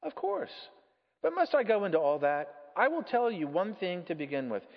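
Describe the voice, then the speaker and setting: Guy reading as a calm smiling spirit, patient, lively pitch, storybook story in an echoey room. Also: normal speed, vocal fry, heavily acted. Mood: frank, humble. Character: serene, smiling redeemed male spirit, mirthful and frank. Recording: muffled, echoey large hall.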